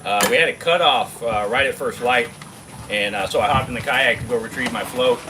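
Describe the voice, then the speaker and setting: A man from Germany, telling a fishing story in a relaxed, casual way with many fillers. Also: medium pitch, brisk, noisy background. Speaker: guest angler and bakery owner.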